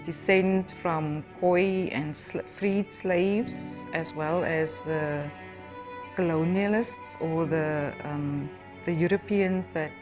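Slow-talking South African woman, lowish voice. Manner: slow, thoughtful, amused. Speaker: guest house owner. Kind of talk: documentary interview. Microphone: lapel mic. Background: background music.

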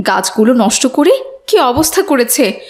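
A woman's voice: on the high side, sing-song, normal speed. A woman voicing an exasperated farmer's wife and mother in a moral cartoon, nagging with indignant questions. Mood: complaining.

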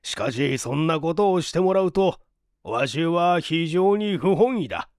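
Male reader as dignified older benefactor, audiobook drama, unhurried delivery, high animated pitch. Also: no echo, clean studio sound. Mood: warm, grateful. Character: genial, dignified older gentleman, a generous benefactor.

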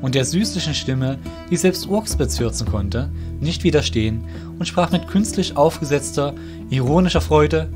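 A man's voice: fairly high pitch, expressive, normal speed. A man reading scripted fantasy narration as the narrator of an audio drama. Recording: music behind the voice.

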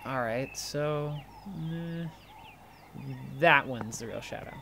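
A man playing an impulsive, curious friend in an audio drama, conversational and quick to get defensive. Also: a little high, creaky, background noise. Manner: animated protests, inquisitive, defensive. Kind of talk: naturalistic audio drama dialogue.

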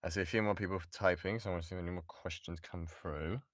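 A man's voice: deep voice, steady tone, fast talker, fairly bright tone, dry recording, studio quality. A British man, a voice actor, chatting informally and self-deprecatingly as he answers questions in an online workshop. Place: insulated padded home booth. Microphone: XLR studio microphone.

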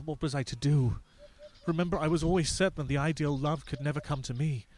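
A man's voice: on the high side, fairly even intonation, a little fast, darkish tone, lightly acted. A man playing a pompous, self-pitying lovestruck husband in a radio play, earnest and self-dramatising.

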